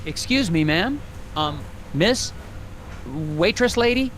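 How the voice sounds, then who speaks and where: Earnest dorky young fbi agent for a cartoon game, swingy pitch, kinda hesitant, clear noise behind. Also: male voice, takes it slowly, heavily acted. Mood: polite, awkward. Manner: polite, hesitant, deadpan. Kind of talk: animated adventure game dialogue and dictated notes.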